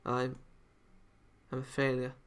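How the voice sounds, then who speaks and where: A man's voice: medium pitch, monotone, slow pace, clean tone, lightly acted. A man voicing a sad, defeated young female villain from a visual novel in a subdued, self-pitying way. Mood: dejected, hopeless.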